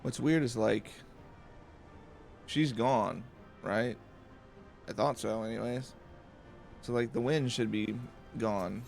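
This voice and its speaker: A man streams a game in a calm, casual way. His pace is slow and his mid-pitched voice has a gravelly creak. Soft music plays faintly behind him. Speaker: let's play streamer.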